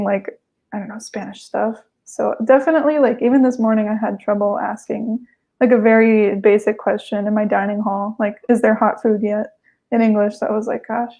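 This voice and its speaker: A woman's voice: fairly high pitch, mellow. A young American woman on a video call, chatting casually with lots of fillers and restarts. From United States. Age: young adult. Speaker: guest, language learner. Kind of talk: casual conversation.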